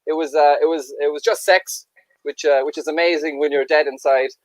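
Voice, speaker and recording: Crude, self-mocking comedian. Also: male voice, on the high side, fairly quick, no room sound, low-quality recording. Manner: self-deprecating, crude, deadpan asides. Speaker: stand-up comedian. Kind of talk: stand-up anecdote.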